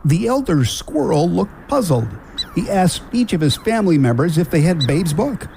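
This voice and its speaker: A man's voice: sits high, very animated, normal speed, gravelly, warm tone, slight background noise. A man narrating a scripted children's story as the narrator of a radio drama. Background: guitar music.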